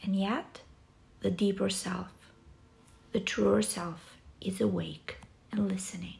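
A woman leads a guided meditation very slowly, leaving long pauses between phrases. Her voice is soft and breathy, with heavy vocal fry running through it. The pitch sits in a middle range for a woman, and the delivery is soothing. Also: steady tone. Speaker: yoga nidra guide.

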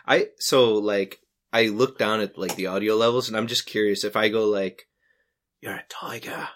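A man co-hosting a podcast in casual comedic chat, telling stories in an animated way and laughing often. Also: on the low side, moderate pace. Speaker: podcast co-host. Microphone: desk USB condenser mic.

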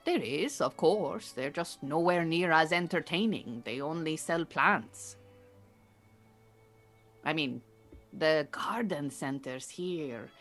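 An actress playing an Iranian refugee woman who keeps bees, a dry, earnest, patient mentor with measured phrasing in an audio drama. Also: low-pitched, lively intonation, fairly bright tone, faint music behind, heavily acted. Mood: enthusiastic. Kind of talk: naturalistic audio drama dialogue.

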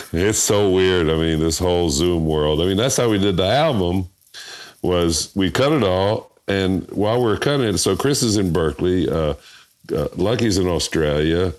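An older man, a bandleader and voiceover artist, chatting in an interview as a rambling storyteller who chuckles often. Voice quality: very deep voice. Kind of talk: casual interview chat.

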